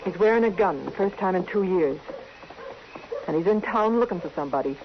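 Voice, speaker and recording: An actress playing an anxious, insistent young ranch wife in a radio western, with plain rural speech. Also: female voice, on the low side, animated, a little fast. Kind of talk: old-time radio western acting. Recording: muffled old radio recording, light noise.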